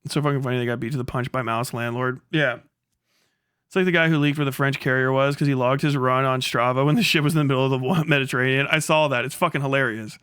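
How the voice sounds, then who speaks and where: A man's voice: medium pitch, fairly even intonation, fast, a bit bright, clean tone, dry recording, clean studio sound. A man livestreaming a casual rant in a blunt, sarcastic, heavily profane way. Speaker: live streamer.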